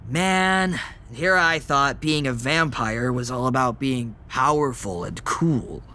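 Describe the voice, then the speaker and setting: Questioning, mid-pitched young vampire man voiced by an actor. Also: takes it slowly, sharp tone. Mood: disappointed. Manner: questioning, conversational. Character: newly turned male vampire, curious, sceptical, naive. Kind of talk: audio drama acting.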